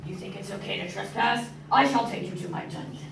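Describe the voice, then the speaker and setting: A woman playing a gruff, short-tempered male beast in an amateur classroom play. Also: medium pitch, fairly quick. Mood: angry, commanding. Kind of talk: amateur readers theater in drama class. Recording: noisy echoey room, distant mic.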